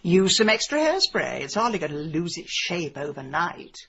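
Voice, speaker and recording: An actress playing a sharp-tongued, impatient middle-aged village woman in a radio comedy drama, deadpan and cutting. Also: lowish voice, normal speed, dull audio, slight background noise. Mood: dry sarcasm.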